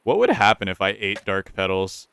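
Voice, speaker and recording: A man's voice: on the low side, big pitch swings, normal speed, creaky, fairly bright tone, clean tone. A young man with a stuffy, nasal voice, casually commentating his gameplay, thinking aloud and repeating himself. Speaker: gaming YouTuber.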